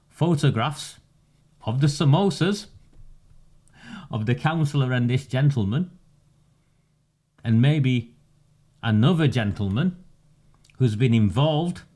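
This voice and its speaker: A man, delivering a measured, sarcastic campaigning monologue with emphatic pauses. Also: mid-range voice, mellow. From northern England. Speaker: community campaigner. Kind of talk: direct-to-camera monologue.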